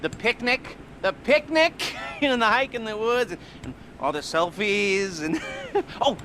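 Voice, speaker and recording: A man's voice: expressive, measured pace, sharp tone, slight background noise, heavily acted. An actor playing a jittery, heavily medicated male heist planner in a video game, rambling and laughing nervously. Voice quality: nervous, rambling, giggly, manic swings in pitch. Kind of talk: video game cutscene acting.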